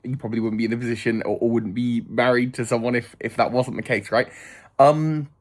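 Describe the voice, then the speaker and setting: A man's voice: medium pitch, steady tone, a little fast. A man in his thirties talking to camera in a thoughtful, rambling way, with many fillers and restarts. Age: 30s. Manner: thoughtful and earnest. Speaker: vlogger. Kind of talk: reflective casual monologue.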